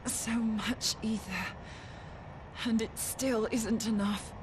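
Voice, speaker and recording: A weary, earnest fantasy game heroine with a fairly high voice. She speaks slowly over noisy game ambience. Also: steady tone. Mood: strained frustration. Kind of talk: dramatic fantasy video game acting.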